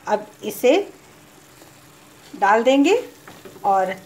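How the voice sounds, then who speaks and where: A woman hosting her cooking channel, calmly talking through a recipe step by step and slipping English cooking words into Hindi. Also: mid-range voice, very slow, reverberant, poor audio.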